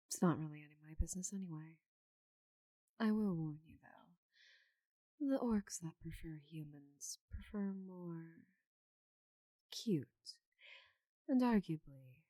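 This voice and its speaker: A woman voicing a giant female orc knight in an ASMR roleplay, confident, teasing and loyal, with soft breathy asides. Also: sits low, no room sound, full character voice. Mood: teasing, friendly. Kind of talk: ASMR roleplay, intimate slow delivery.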